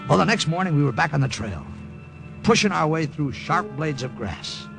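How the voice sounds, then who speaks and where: Lively, gravelly American wisecracking lieutenant. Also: male voice, fairly high pitch, normal speed, music in the background. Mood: wry narrating. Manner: jokey, conversational. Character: wisecracking male American lieutenant, excitable storyteller. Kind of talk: 1940s-50s radio drama acting and narration. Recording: vintage radio recording.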